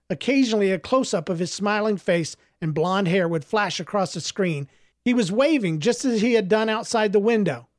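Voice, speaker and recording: A man's voice: high-pitched, dry recording, clean studio sound. A man who is an author, speaking as a storyteller reading and reflecting on his own work. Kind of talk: audiobook narration and reflective interview answers.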